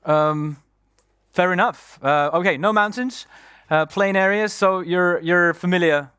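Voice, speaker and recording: A man's voice: sits high, a little slow, sharp tone, smooth, dry recording, clean studio sound. A man hosting a live conference session, introducing and interviewing a guest on stage in an upbeat, playful way. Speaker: stage host and moderator.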